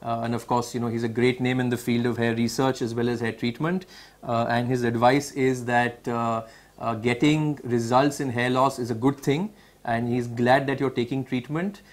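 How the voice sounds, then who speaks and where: A man with an Indian accent hosting a medical question-and-answer session, explaining and restating points clearly for viewers. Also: medium pitch, flat delivery, normal speed. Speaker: webinar host. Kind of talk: moderating a medical Q&A, reading and recapping.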